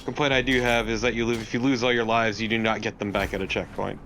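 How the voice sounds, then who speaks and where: A man's voice: lowish voice, level tone, fast, fairly bright tone. Man chatting over a noisy background. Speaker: co-commentator. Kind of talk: casual chat over gameplay.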